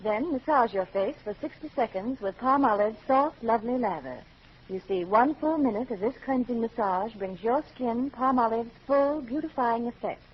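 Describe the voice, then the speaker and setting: A woman's voice: a little high, expressive, normal speed, husky, lo-fi sound. A female commercial announcer reading precise, instructive advertising copy in 1940s radio style. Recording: band-limited, fuzzy vintage radio recording.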